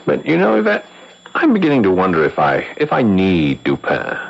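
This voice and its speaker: Excitable young policeman, swooping pitch. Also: normal speed, vocal fry, heavily acted. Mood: pompous, uncertain. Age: young adult. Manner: excitable, hesitant, repeats himself. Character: earnest, bumbling young policeman, excitable and easily shaken. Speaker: radio drama actor. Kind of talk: 1970s radio drama acting. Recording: muffled 1970s radio broadcast.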